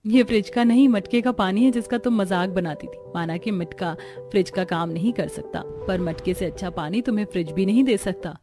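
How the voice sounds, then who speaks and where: A woman voicing a gentle, sentimental, forgiving daughter-in-law in a cartoon moral story. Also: mid-range voice, moderate pace, music in the background, close to an everyday voice. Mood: firm.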